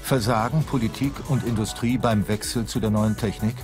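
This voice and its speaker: Low male voice-over, investigative and questioning, over music. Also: fairly even intonation, normal speed. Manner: questioning, probing. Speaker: documentary narrator. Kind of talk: scripted narration.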